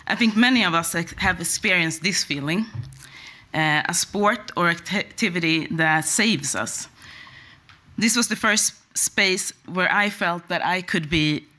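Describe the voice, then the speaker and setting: Swedish woman in her forties, conference speaker, bright tense tone, hesitant with restarts. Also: on the low side, measured pace. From Sweden. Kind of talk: personal talk at a conference.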